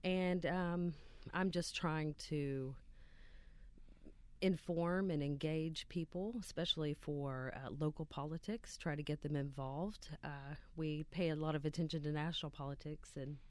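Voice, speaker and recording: Soft-spoken West Virginian woman activist. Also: low voice, steady tone, a little slow, no echo. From West Virginia, USA. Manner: hesitant, many ums and uhs, soft-spoken. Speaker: guest, local activist. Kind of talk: casual interview. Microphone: sits a bit off the studio mic.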